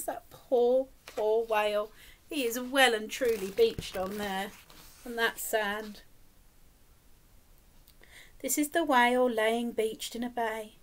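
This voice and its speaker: A middle-aged woman reading a picture book aloud to young children, expressive and encouraging, often putting questions to them. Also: high-pitched. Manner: expressive, exaggerated, encouraging, speaking as to small children. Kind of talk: animated children's storytime reading with questions to the listeners. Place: small indoor room, plain wall.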